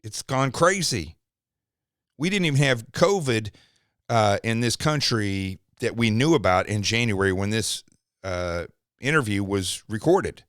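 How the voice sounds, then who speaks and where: A man with an American accent hosting a podcast, talking in a casual, rambling way with restarts and quick asides. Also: fairly low pitch, expressive, fairly bright tone, no echo, clean studio sound. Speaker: podcast host.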